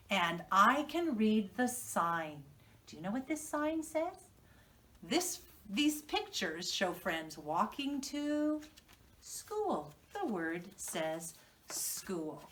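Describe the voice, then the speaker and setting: Female preschool teacher, American, unhurried and highly animated storytelling for little learners, heard slightly away from the mic. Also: distant mic. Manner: instructional, question-and-prompt delivery for children. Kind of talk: reading lesson and storytelling for young children.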